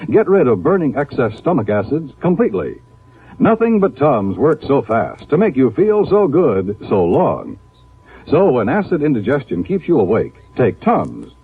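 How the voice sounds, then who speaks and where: A man in the role of a 1950s radio announcer, selling with emphatic polish. His pitch swoops widely over a slightly husky tone, and the recording is thin and narrow. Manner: emphatic, polished salesman delivery. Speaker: radio announcer reading a sponsor ad.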